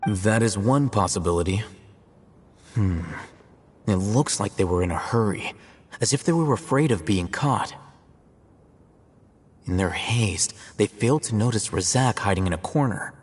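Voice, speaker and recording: An actor playing a composed, analytical male scholar and scribe in a game, explaining his deductions in a calm, measured, lecturing way. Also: lowish voice, slight background noise. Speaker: academy scribe. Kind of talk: game cutscene voice acting. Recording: reverberant with game music.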